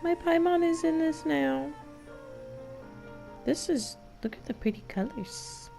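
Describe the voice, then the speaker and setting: A woman's voice: high-pitched, slow pace, vocal fry, over music. A young woman streamer giving casual, playful gameplay commentary in slangy exclamations. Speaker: game streamer.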